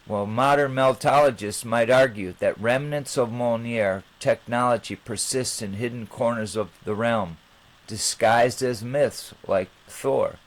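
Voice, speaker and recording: A man hosting an informal explanatory monologue, with halting, rambling phrasing. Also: mid-range voice, rough recording. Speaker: channel host.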